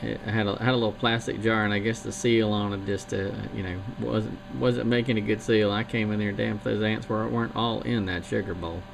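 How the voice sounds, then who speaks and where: A man from Missouri in casual chat, speaking in a slow, folksy, plain-spoken way. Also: on the low side, steady tone, vocal fry. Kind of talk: casual chat over radio link. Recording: radio link buried in loud noise.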